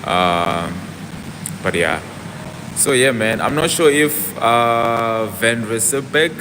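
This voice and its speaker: A man's voice: mid-range voice, animated, slow pace, background noise. A young man on a casual fitness livestream, chatting to viewers and answering their comments aloud as he talks. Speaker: fitness vlogger.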